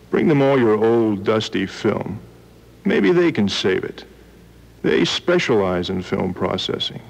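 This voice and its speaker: A man's voice: on the low side, gravelly. A man reading a 1970s radio commercial, chiding the listener in a sardonic tone. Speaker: commercial announcer. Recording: muffled vintage AM radio aircheck.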